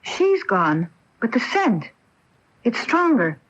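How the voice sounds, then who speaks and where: A woman's voice: on the high side, sing-song, takes it slowly, exaggerated voice. An actress playing a curious young woman in a radio mystery drama, hesitant and wondering. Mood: puzzled. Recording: dull, lo-fi AM radio tape.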